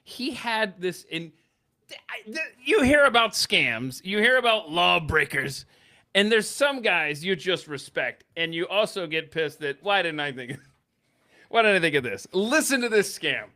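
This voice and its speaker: A man hosting a casual talk show, telling stories in an animated, emphatic, jokey way. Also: high-pitched, a little slow. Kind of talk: casual chat, storytelling.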